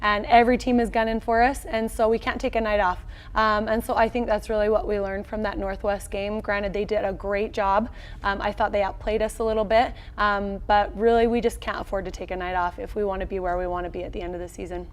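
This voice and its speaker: A woman's voice: mid-range voice, level tone, a little fast. Upbeat American woman, sports coach. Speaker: volleyball head coach, interview guest. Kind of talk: conversational sports interview. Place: small indoor locker-room set.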